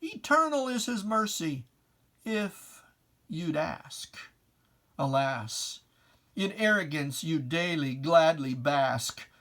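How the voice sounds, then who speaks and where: A person giving a solemn, theatrical recitation of religious verse, declaimed with weighty pauses and a stern, taunting address. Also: medium pitch, sing-song, fairly bright tone. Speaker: reader of poetry. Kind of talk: dramatic recitation of religious rhyming poem.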